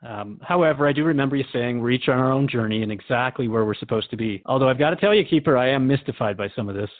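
Man acting earnest puzzled radio host, quick pace, mid-pitched voice, reflective questioning, drama interview, dull close recording. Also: no echo, lightly acted.

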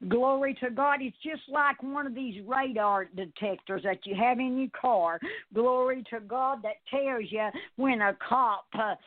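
A woman's voice: a little high, measured pace, dry recording, narrow, tinny audio. A woman preacher delivering an exhorting sermon, often punctuated with short interjections of praise.

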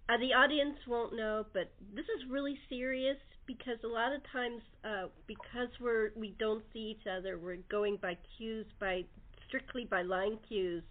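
A woman's voice: fairly high pitch, steady tone, normal speed, few pauses. A woman hosting a casual, chatty cast interview. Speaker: host of a cast interview show. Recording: narrow phone-line sound.

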